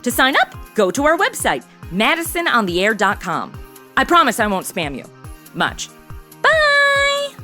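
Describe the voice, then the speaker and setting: A woman's voice: high-pitched, wildly swooping pitch, unhurried, pushed and bright, faint music behind, heavily acted. A woman playing a bubbly, self-absorbed modern teenage girl in a comedy audio drama, with gushing, slangy phrasing. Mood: perky.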